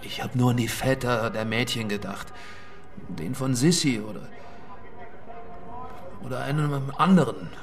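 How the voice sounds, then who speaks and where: A man playing a cowed street pimp in a radio crime drama. His mid-pitched voice is nervous and pleading, submissive to authority, with faint music behind. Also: a little slow, pauses often, lightly acted. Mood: wary. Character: nervous, cowed male pimp under interrogation.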